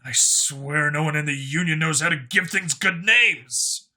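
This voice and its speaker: A man's voice: a little high, unhurried, sharp tone, full character voice. A man voicing an irreverent human cop in a science fiction story, with a casual, sarcastic, grumbling manner.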